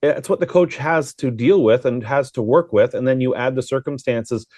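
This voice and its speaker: A man's voice: mid-range voice, normal speed, a little mellow, dry recording. A man working as a sports broadcaster, giving informal, talkative, conversational analysis with rambling asides.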